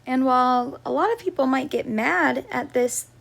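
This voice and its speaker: A woman's voice: high voice, normal speed, vocal fry. A woman storyteller reading a picture book aloud for children, as a teacher would.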